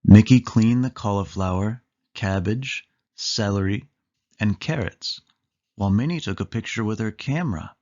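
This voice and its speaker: A man's voice: fairly low pitch, moderate pace, a little mellow, highs cut off. A man narrating a children's picture book aloud, leaning emphatically on key words. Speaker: storybook reader.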